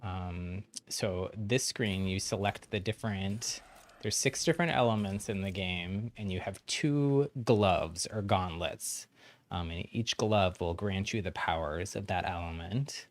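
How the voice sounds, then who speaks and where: A man's voice: deep voice, a little slow. A man chatting casually on a game livestream, explaining things to newcomers in a friendly, encouraging way with frequent chuckles. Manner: chuckles often while talking. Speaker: game streamer.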